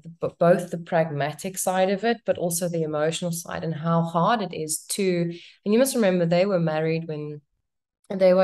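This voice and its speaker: A woman's voice: on the low side, mellow. A woman from an Afrikaans-speaking background with a South African accent, a guest actress in a casual podcast interview who talks thoughtfully and often circles back to rephrase.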